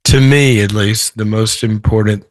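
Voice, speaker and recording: Male guest, hoarse today, low voice, rambling and reflective, dry recording. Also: fairly even intonation, unhurried, no room sound. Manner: thoughtful, rambling with restarts. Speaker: author guest. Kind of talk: podcast interview.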